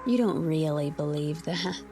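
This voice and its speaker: An actress playing a sharp, questioning and playful young newlywed wife in a radio drama. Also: female voice, low voice, unhurried, music in the background. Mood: worried, doubtful. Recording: steady car road noise behind.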